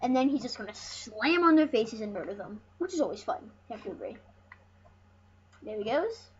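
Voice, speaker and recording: An excitable, rambling host giving casual, jokey commentary over a video game. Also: medium pitch, fairly quick. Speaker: host of a gaming channel. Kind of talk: casual let's play commentary. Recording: dull lo-fi mic with loud noise.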